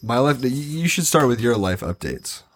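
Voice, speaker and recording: A man's voice: medium pitch, sing-song, moderate pace, husky, dry recording. A man co-hosting a podcast in casual chat, easygoing and wry. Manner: easygoing, wry, chuckles often. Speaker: podcast co-host.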